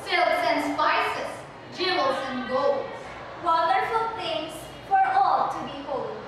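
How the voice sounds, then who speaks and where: A young woman recites rhymed stage verse slowly in a high voice. The recording is distant, with heavy hall echo. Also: lively intonation. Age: young adult. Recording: distant mic, very echoey hall, noisy.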